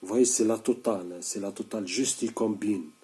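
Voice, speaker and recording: A man's voice: on the low side, brisk, warm tone. A sleep-deprived man in his thirties talking straight to camera, insistent and repetitive, stumbling and restarting often. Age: 30s. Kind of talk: casual video monologue.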